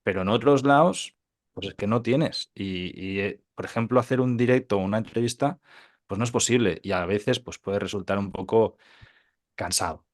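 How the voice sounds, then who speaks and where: Low-voiced man Catalonia, casual. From Catalonia, Spain. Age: 30s. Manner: informal, halting with repeated words and tag questions. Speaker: podcast guest, digital entrepreneur. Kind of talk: casual interview storytelling.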